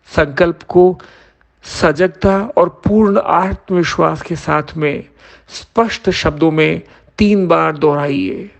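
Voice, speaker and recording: Slow-paced man guiding meditation, slightly creaky. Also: on the high side. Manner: measured, instructing in short phrases with pauses. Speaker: yoga nidra instructor. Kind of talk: guided meditation instruction.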